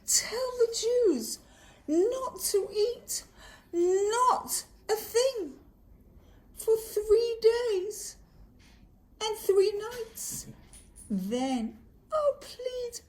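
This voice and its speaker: A woman's voice: very high-pitched, big pitch swings, extremely slow, pauses often, darkish tone, pitched above the performer's own voice, full character voice. A woman voicing an anguished young queen, whimpering and pleading melodramatically in a rhyming children's puppet show. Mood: tearful resolve. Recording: music behind the voice.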